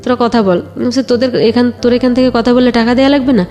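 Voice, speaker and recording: Bangladeshi woman, highish voice, telling a personal story kinda haltingly, music playing under it. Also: fairly even intonation, warm tone. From Bagerhat area, Bangladesh. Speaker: guest telling own life story.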